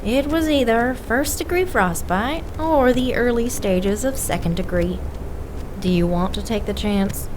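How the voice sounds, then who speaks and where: A woman acting in an audio drama as a determined paranormal investigator, measured, direct and dryly teasing. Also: on the high side, background noise, natural voice. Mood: matter-of-fact. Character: steady, determined woman investigator of the supernatural, forgiving but blunt.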